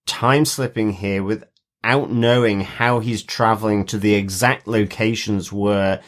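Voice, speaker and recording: Low-pitched man, casual podcast co-host. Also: steady tone, a little slow. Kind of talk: casual TV review discussion.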